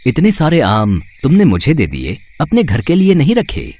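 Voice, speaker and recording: A man voicing a benevolent, mystical holy hermit who speaks in slow, grand pronouncements in a cartoon story. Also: medium pitch, huge pitch swings, darkish tone. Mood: surprised, kindly. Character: mystical male holy hermit granting a magical boon.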